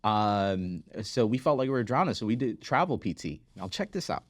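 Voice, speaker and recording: Deep voiced guy on a podcast telling his story, emphatic, says right a lot, really dry close sound. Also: very animated, moderate pace, no echo. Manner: emphatic, repeats key words and checks in with "right?". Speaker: podcast guest, physical therapist and business founder. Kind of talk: conversational interview storytelling.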